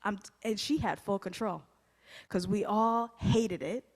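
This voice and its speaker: A woman's voice: mid-range voice, a little slow. Heartfelt woman speaking in an echoey sanctuary. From United States. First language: Haitian Creole. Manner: heartfelt, storytelling, laughs often. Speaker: guest speaker. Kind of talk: personal testimony sermon. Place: church sanctuary. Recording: echoey hall, long reverb.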